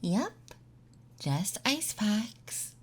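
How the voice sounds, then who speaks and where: Very slow, whispery ASMR woman. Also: medium pitch, big pitch swings, husky. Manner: intimate, gentle, teasing, speaking directly to the listener. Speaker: ASMR roleplay performer. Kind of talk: ASMR roleplay, soft speech mixed with whispering. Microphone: close ASMR microphone.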